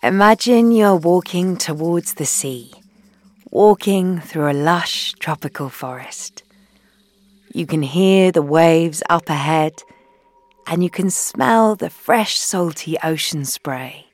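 A woman leading a guided meditation in a soothing, gentle, unhurried way, leaving long pauses between phrases and softening into breathy endings. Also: on the low side, no echo. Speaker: guided meditation leader, founder.

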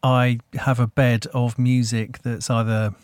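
A man hosting a podcast, talking in a relaxed, rambling way with many fillers. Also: mid-range voice, measured pace, no echo, clean studio sound. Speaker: podcast host. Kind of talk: casual interview chat.

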